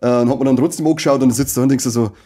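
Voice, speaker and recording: A man with a Bavarian accent and a husky voice, chatting casually as co-host of a podcast with friends. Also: mid-range voice, fairly even intonation, rapid-fire, dark tone.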